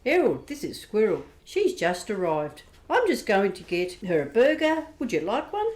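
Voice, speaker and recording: A woman's voice: mid-range voice, sing-song, normal speed, darkish tone, lightly acted, poor audio. A woman playing a friendly, folksy bar owner who greets newcomers, in an amateur radio play. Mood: welcoming. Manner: folksy, welcoming. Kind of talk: amateur radio drama acting.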